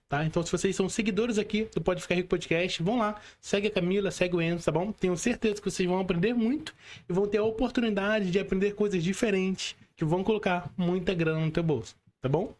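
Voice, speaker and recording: A man's voice: sits high, darkish tone. A Brazilian man hosting a podcast, talking casually and adding frequent tag questions. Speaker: podcast host. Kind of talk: casual interviewing and channel promotion.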